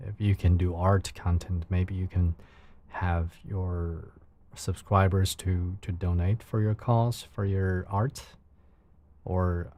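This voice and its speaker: A man's voice: low voice, dark tone. A man from China with a Chinese accent and a soothing voice, chatting casually with viewers on his livestream in careful, searching second-language phrasing. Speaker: live streamer.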